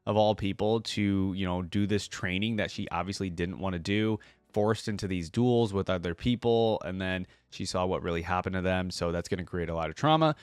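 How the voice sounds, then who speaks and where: Low-voiced man reading and reacting, dry recording. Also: fairly quick, clean tone, no room sound. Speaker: reaction video host. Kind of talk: reading lore aloud with casual reactions.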